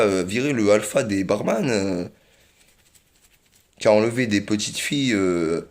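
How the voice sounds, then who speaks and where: A man rambling in a casual monologue, repeating himself often, with many fillers and little chuckles. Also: lowish voice.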